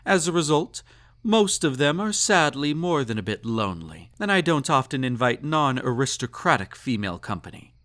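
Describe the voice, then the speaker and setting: A man's voice: mid-range voice, sing-song, moderate pace, fairly bright tone. A man playing a snobbish, possessive emperor in an intimate roleplay audio drama, with pompous, condescending, teasing speech and ornate formal phrasing. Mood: amused condescension. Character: snobbish, possessive male emperor, indulgent and slightly tipsy.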